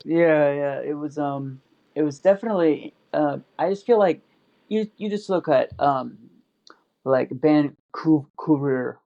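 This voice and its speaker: A man's voice: on the high side, a little slow, vocal fry, dark tone. A man in casual podcast chat, rambling with lots of fillers and restarts. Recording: dull lo-fi audio.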